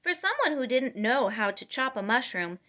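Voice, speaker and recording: Bright, higher-voiced woman reading a storybook. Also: very animated, normal speed. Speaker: storytime reader. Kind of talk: expressive read-aloud of a children's storybook.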